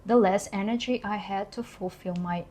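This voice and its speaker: A woman's voice: medium pitch, normal speed, a little mellow. A woman in her thirties from Kazakhstan with a Kazakh accent, speaking English as a second language in an earnest, reflective personal vlog. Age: 30s. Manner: earnest, reflective, occasionally laughing. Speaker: vlogger.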